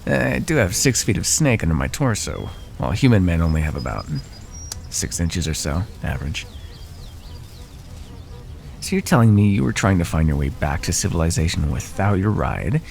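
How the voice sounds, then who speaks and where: A man's voice: low-pitched, very animated, moderate pace, gravelly, a little mellow, heavily acted. A man voicing a smug, predatory naga who teases and threatens with mocking condescension in an ASMR fantasy roleplay. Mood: casual menace. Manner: mocking, condescending, drawling pet names. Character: smug predatory male naga, teasing and menacing.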